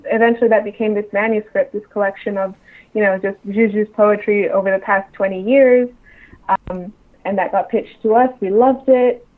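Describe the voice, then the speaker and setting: A woman's voice: medium pitch, steady tone, normal speed. A woman giving casual interview answers as a guest on a remote call. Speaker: interview guest, associate editor at a publisher. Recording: muffled, band-limited remote call line.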